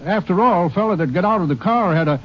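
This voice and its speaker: High, husky, nervous witness. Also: male voice, lively intonation, quick pace. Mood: unsure. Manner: hesitant, uncertain. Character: nervous hesitant witness, man. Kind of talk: 1940s radio drama acting. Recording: dull old radio recording.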